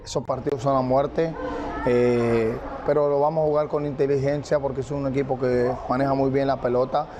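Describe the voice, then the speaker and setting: A man's voice: mid-range voice, flat delivery, a little fast. A man answering spontaneously in a football interview, with some ambient noise.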